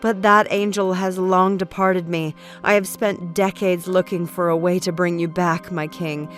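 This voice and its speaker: Audio drama role, acted by a woman: ageless devoted woman, calm and imploring, lowish voice over music. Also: a little slow, natural voice. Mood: earnest, pleading. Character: calm ancient woman, devoted, pleading to her resurrected king.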